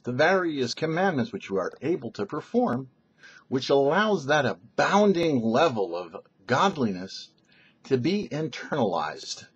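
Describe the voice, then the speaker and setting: A middle-aged man, a rabbi giving a short religious teaching to camera in a measured, explanatory way. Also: mid-range voice, slightly rough, highs cut off. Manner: measured, explanatory, rhetorical questions. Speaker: rabbi, show host.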